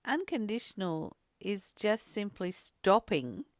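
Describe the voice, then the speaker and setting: A woman's voice: medium pitch, very animated, slow pace, no room sound, clean studio sound. A woman hosting a reflective spiritual study discussion, speaking in an encouraging, reassuring way. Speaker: study group host.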